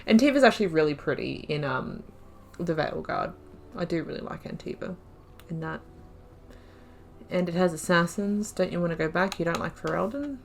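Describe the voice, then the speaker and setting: A woman's voice: on the low side, animated, moderate pace, gravelly, long pauses, a little hiss or hum. Chatty woman narrating a game playthrough. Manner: chatty, giggly, muttering asides. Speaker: gaming YouTuber. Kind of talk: casual let's-play commentary.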